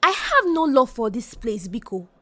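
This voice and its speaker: A woman voicing a cold, dismissive young village wife in a folktale, speaking curtly and flatly. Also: high-pitched, normal speed, full character voice. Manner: cold, flat and curt.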